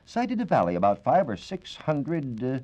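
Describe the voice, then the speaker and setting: An American man giving a formal, measured, scripted science talk as a reporter on 1940s radio. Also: medium pitch, wildly swooping pitch, darkish tone. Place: radio studio. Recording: dull, band-limited 1940s radio recording.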